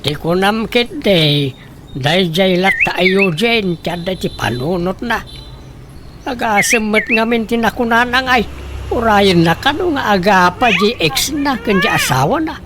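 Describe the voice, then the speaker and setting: A woman's voice: medium pitch, lively intonation, measured pace, lightly acted. Woman acting a chatty matchmaker, very noisy recording. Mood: insistent. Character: meddling, coaxing older relative playing matchmaker. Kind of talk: radio drama acting.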